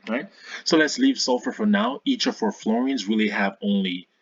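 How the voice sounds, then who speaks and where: Man, informal tutor, hesitant explaining, gravelly low-end fry, dry muffled recording. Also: wildly swooping pitch, moderate pace, no room sound. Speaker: science tutor, video host.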